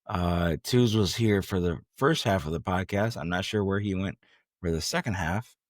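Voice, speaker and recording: A man's voice: sits low, moderate pace, dry recording, studio quality. A man hosting a podcast, chatting casually about sports in a slangy, bro-ish way. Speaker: podcast host.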